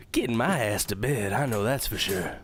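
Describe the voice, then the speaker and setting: Audio drama role: easygoing night-shift DJ, mid-low casual talker, expressive swings, relaxed delivery. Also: male voice, measured pace, slight background noise. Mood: relaxed, weary. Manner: laid-back, slangy. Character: tired, easygoing late-night radio show host. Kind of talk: audio drama, radio sign-off and casual chat.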